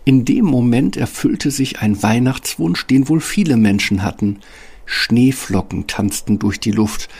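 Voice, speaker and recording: A man's voice: mid-range voice, animated, a little slow, darkish tone, breathy edge. A man narrating a fairy tale from a script as the storyteller of a radio play.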